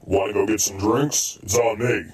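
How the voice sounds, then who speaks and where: An actor playing a hearty, joking, heavyset robot ex-soldier turned medic in an audio drama, very expressive. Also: male voice, fairly low pitch, normal speed, distant mic, close to an everyday voice. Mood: jovial. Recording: roomy, off-mic, music behind.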